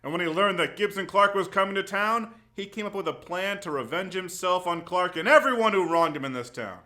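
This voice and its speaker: An earnest, guarded male reporter, acted by a man in a radio play. His bright, slightly high voice sounds hesitant and confiding. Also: brisk. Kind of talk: 1940s-style radio drama acting.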